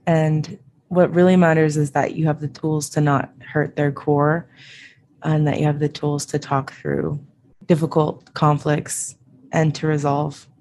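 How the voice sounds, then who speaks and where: A woman's voice: low voice, flat delivery, unhurried, a little mellow. A woman podcast host whose first language was Japanese, talking reflectively in a casual discussion. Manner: reflective, thoughtful, with frequent "like" and "I think".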